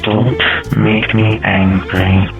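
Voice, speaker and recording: Man playing a creaky, slow, menacing guardian spirit. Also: lowish voice, level tone, lo-fi sound, heavily acted. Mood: taunting, menacing. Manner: slow, taunting. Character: male guard-dog spirit, menacing, mocking, protective. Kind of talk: amateur fantasy audio drama acting. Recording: loud music bed under voice.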